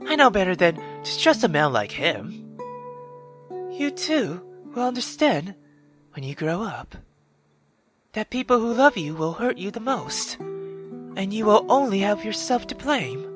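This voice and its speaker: Man putting on a cold, high-voiced, domineering mother. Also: very animated, over music. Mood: cold, bitter. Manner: intense, domineering, deliberate. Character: cold, bitter, menacing mother lecturing her daughter.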